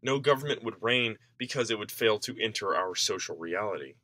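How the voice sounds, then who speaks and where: Bright-voiced man reading an essay. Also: on the low side, lively intonation, brisk, no echo. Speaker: reader of an essay. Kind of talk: scripted narration, reading a political essay aloud.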